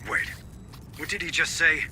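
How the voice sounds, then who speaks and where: Stern marine boss giving orders, very sharp tense voice, highish, faint noise. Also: male voice, normal speed. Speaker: marine leader.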